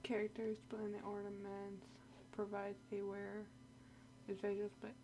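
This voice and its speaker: A woman's voice: mid-range voice, monotone, moderate pace. A woman streaming a game, reacting casually as she plays. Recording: noisy stream with game audio behind. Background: game audio.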